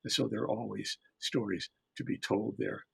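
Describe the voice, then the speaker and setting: Older male storyteller, very husky with gravelly creak, measured and reflective, dry close sound. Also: lowish voice, fairly even intonation, a bit bright, no room sound. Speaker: author and storyteller panelist. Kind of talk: reflective panel talk.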